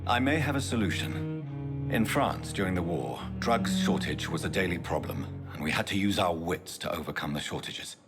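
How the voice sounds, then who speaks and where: Deep-voiced, grave gentleman doctor. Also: lively intonation. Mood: concerned, formal. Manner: measured, formal, brooding. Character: grave, educated male doctor, brooding and courteous. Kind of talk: dramatic video game voice acting. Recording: game music behind the voice.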